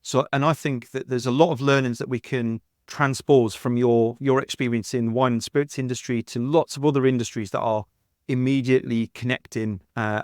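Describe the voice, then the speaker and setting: A man's voice: mid-range voice, no echo. A middle-aged man with a mid-range voice hosts an interview podcast. He talks conversationally and at length, complimenting his guest, and the sound is dry with very little room. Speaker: podcast host.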